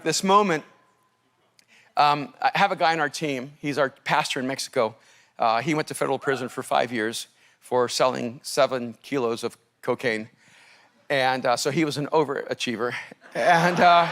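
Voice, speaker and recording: A man from El Salvador, a native Spanish speaker, preaching as a pastor and telling a story in an animated, laughing manner. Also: medium pitch. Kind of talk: storytelling sermon.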